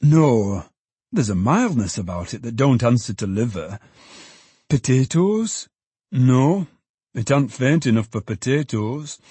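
A man's voice: medium pitch, lively intonation, slow, dark tone, slightly rough, no echo. A man voicing a poor, good-humoured old father in a storybook, musing and exclaiming in a folksy way. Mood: musing, savouring.

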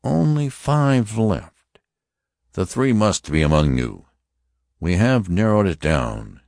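A man voicing a stern male Martian officer who interrogates passengers in a slow, commanding, threatening way. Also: lowish voice, sing-song, darkish tone, dry recording, studio quality, voice pushed up, heavily acted. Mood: menacing.

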